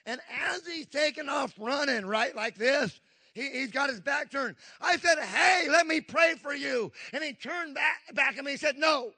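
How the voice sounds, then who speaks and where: A man's voice: very high voice, normal speed, creaky, pushed and bright. A man in his fifties preaching a Pentecostal sermon, emphatic, with frequent call-and-response asides to the congregation. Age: 50s.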